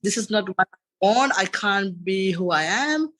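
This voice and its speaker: A man's voice: high-pitched, lively intonation, moderate pace, sharp tone. A man in his thirties with a Sri Lankan accent, a podcast guest telling his story in a casual, rambling way, often saying "and after that". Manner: rambling, repeats "and after that" and "you know". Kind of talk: casual interview chat.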